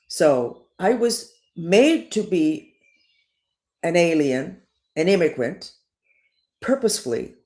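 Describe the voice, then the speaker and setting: An older woman delivering a rambling, emphatic monologue, her pitch swinging widely up and down. She circles back on her points and presses hard on certain words, in the manner of a spiritual teacher talking straight to her viewers. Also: slow pace, pauses often. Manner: digressive, emphatic, lively up-and-down delivery.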